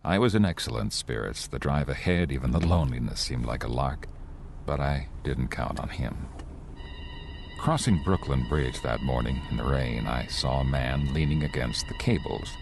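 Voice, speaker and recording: A man acting a haunted, anxious traveller in his thirties in an old-time radio play, speaking urgently and haltingly. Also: very deep voice, gravelly, background noise. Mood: uneasy recounting. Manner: urgent, halting, repeating himself. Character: haunted 36-year-old male traveller, anxious, insisting he is sane. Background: music.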